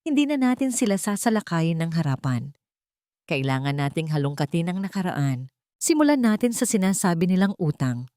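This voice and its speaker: A woman's voice: on the low side, big pitch swings, moderate pace, dark tone, no echo. A Filipino woman voicing a decisive, protective young man, the resolute hero of an audio romance.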